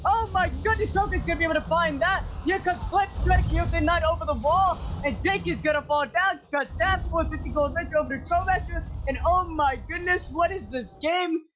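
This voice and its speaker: A man calls a live esports match play-by-play in rapid, excitable bursts. His voice sits very high and is starting to go hoarse. There is clear noise over the stream audio. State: voice going hoarse. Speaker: play-by-play caster.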